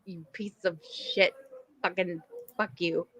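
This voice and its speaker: A woman's voice: lowish voice, fairly even intonation, takes it slowly, fairly bright tone, husky, highs cut off. A woman hosting a podcast, chatting casually about a TV show in a blunt, joking manner with plenty of swearing. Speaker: podcast host.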